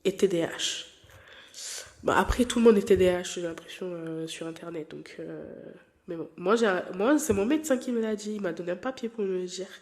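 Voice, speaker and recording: A woman's voice: fairly low pitch, fairly quick, a little mellow. A woman in her twenties, a non-native French speaker, hosting her podcast in a candid, rambling chat full of fillers and restarts. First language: not French. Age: 20s. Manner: candid and playful. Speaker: podcast host. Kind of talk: casual personal chat.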